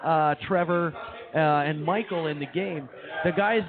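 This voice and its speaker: A man's voice: fairly high pitch, a little slow. A man co-hosting a comedy radio show in casual banter, talking in boastful hyperbole and repeating his phrases. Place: live show at a brewing venue. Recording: phone-like thin audio with crowd chatter. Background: crowd chatter.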